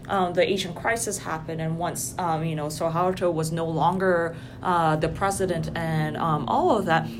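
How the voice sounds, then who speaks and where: A woman from Indonesia in a casual academic conversation, speaking thoughtfully with frequent ums and restarts. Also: lowish voice. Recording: noisy background hiss.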